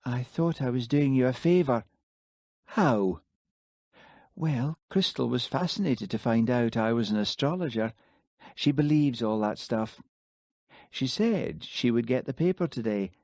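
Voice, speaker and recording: A male narrator voicing a defensive young woman astrologer, raising his pitch into a lighter voice for her. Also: expressive, measured pace, darkish tone, no echo, clean studio sound.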